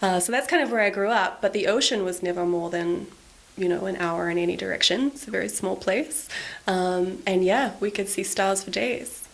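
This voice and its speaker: A young woman speaks in an ordinary middle pitch with a creaky, gravelly edge. She talks earnestly and openly as an interview guest, often restarting her phrases. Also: normal speed. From New Zealand. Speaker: artist guest. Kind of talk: casual conversation.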